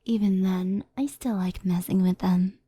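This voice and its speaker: A woman playing a gentle, mischievous fox spirit in an ASMR roleplay, speaking softly and intimately, often in a whisper, like a reassuring mentor. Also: medium pitch, steady tone, unhurried, husky, dry recording.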